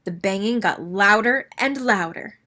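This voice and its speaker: A woman reading a children's story aloud as an expressive narrator. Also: mid-range voice, measured pace.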